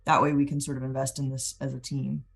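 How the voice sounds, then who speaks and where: A woman's voice: a bass voice, completely flat delivery, fast talker, gravelly, breathy edge, soft background music. A woman playing a tabletop roleplaying game, talking casually and planning with the others.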